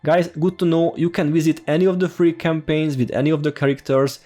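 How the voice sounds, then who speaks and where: A man's voice: fairly high pitch, steady tone, a little fast, dark tone, faint music behind. A man with a strong Hungarian accent, giving a friendly, informal game tutorial as a voice-over. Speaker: gaming YouTuber.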